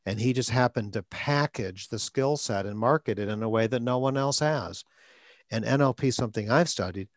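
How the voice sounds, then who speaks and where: A man's voice: mid-range voice, moderate pace, dry recording, clean studio sound. A man hosting a podcast as a stuttering coach, talking in short phrases with an occasional stutter block. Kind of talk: conversational coaching talk.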